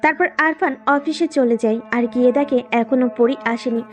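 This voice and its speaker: A woman's voice: sits high, moderate pace, faint music behind. A woman reading scripted narration for a romantic story as its storyteller.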